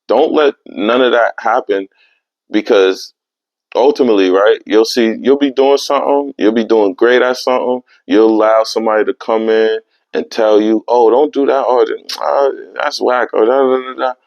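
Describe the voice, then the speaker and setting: A young man hosting his own podcast, talking in a casual, animated way with streetwise slang. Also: mid-range voice, moderate pace, dry recording. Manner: animated, streetwise slang, repeats "you know what I mean". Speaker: podcast host. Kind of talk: casual solo podcast monologue. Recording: dry, slightly dull lo-fi sound.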